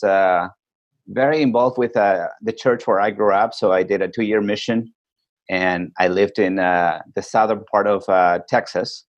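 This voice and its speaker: A man from Peru with a lowish voice, a guest on a podcast. He tells stories in a reflective, unhurried way while calling in from afar. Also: highs cut off. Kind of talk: casual interview chat. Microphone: calling in remotely.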